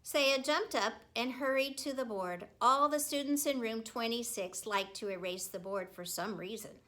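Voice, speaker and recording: A woman reading a children's story aloud as a teacher would, with expressive narration and deliberate, emphatic phrasing. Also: on the high side.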